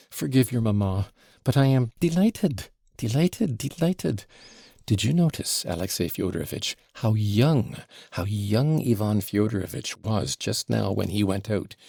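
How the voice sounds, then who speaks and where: A man voicing a flustered, gushing middle-aged society mother who talks in breathless, excitable exclamations. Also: medium pitch, vocal fry, breathy edge, no echo, studio quality, heavily acted.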